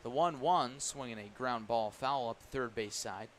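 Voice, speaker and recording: A man's voice: medium pitch, normal speed. Man calling live baseball over ballpark noise. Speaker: play-by-play radio announcer. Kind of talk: live baseball radio commentary.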